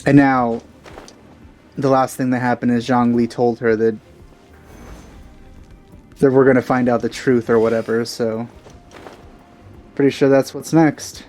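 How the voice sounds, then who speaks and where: A man's voice: mid-range voice, level tone, a little slow, pauses often, a little mellow, soft background music. Offhand man doing casual game commentary. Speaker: let's play host.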